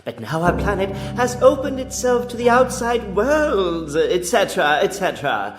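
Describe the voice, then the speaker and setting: An actor playing a pompous, self-amused alien crown prince in a radio play, speaking formally and trailing off. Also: male voice, high voice, sing-song, normal speed. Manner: formal, grandiose, trailing off mid-speech. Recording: music behind the voice. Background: music.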